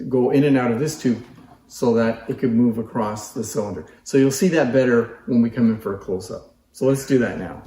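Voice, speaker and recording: A man's voice: mid-range voice, a little mellow. A man giving a casual, explanatory demonstration as a presenter showing off antique machines. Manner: informal, explanatory. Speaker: presenter showcasing antique phonographs. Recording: echoey room.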